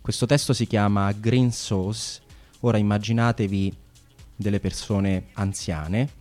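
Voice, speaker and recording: A man with a low, mellow voice gives a calm, explanatory introduction to a short play. Faint music plays underneath him. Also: measured pace. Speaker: theatre presenter. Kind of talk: live spoken introduction.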